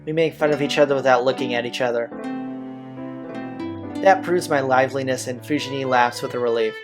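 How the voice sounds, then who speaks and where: A man's voice: medium pitch, steady tone, a little fast. A man hosting a let's-play, reading a visual novel's narration aloud. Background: game music.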